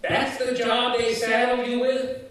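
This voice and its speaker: A man's voice: very high-pitched, level tone, a little slow, fairly bright tone. A man playing the talking skull of a washed-up old sitcom actor in a live radio comedy, wry and full of showbiz patter. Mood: coaxing, chummy. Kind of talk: old-time radio comedy acting before a live audience. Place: community center stage, live performance. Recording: very echoey hall, far from mic.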